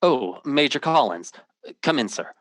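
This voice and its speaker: A man's voice: medium pitch, very animated, a little slow, background noise. A man playing an earnest, troubled, intense hero in an old-time radio drama. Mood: guarded, earnest. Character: earnest, troubled man telling a fantastic story.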